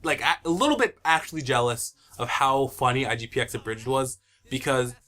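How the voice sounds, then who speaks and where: A young man in casual commentary as a series creator, talking haltingly and conversationally, sometimes trailing off. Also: medium pitch, bright, forceful tone. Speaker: web parody series creator on a commentary track.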